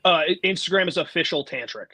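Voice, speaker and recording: A man's voice: fairly high pitch, lively intonation, fast, pushed and bright, husky, no room sound. A man from the United States speaking as a musician guest in a casual interview, easygoing and agreeable.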